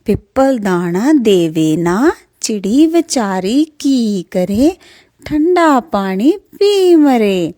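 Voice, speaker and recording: A woman telling a children's folk tale in an expressive, rhythmic sing-song way. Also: a little high, drawn-out words, dry recording, clean studio sound. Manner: expressive, singsong, repetitive rhythmic delivery. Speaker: storyteller.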